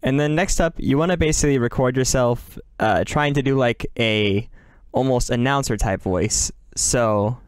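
A man narrating a software tutorial in a casual, instructional way as its host. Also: medium pitch, moderate pace, a little hiss or hum.

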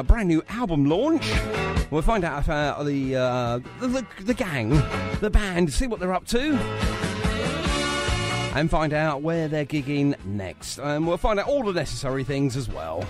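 Chatty DJ man, lively pitch. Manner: chatty, jokey, lively intonation. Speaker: radio DJ and host. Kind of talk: casual radio show chat. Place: home studio with doors open.

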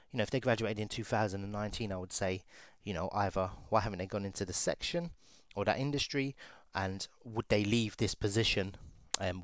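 Low-voiced man narrating a screen demo. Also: no echo, clean studio sound. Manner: casual, thinking aloud with fillers while clicking. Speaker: recruiter. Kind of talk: screen-share software walkthrough.

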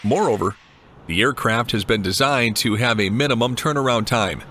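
A man reading a scripted documentary narration in an even, measured way. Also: mid-range voice, a little hiss or hum. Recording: voice-over with clear music behind.

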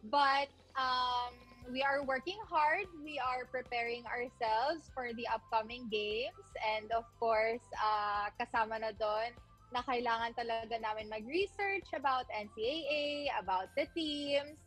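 A woman's voice: a little high, measured pace, a bit bright, faint music behind. A young woman interviewed as a new courtside reporter over a video call, friendly and a bit hesitant, with fillers. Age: young adult. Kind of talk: video-call interview, mixing English and Filipino.

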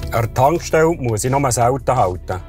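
Schweizer Mann mit Schweizerdeutschem Akzent. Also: male voice, medium pitch, animated, normal speed, over music. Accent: Swiss German. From Switzerland. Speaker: ice hockey referee. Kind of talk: sponsored video voice-over and interview.

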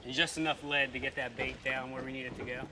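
A man's voice: mid-range voice, level tone, fast, background noise. A man guiding a fishing trip on an open boat, explaining gear in a matter-of-fact, instructive way. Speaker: fishing guide. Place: open boat at sea, outdoors.

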